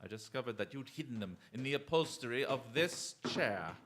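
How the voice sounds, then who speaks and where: A man acting a courteous, shrewd, chivalrous detective in a radio drama, with formal, deliberate speech. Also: medium pitch, expressive, fairly bright tone, slight background noise, close to an everyday voice. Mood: smug, assured. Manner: formal, polite, theatrically deliberate.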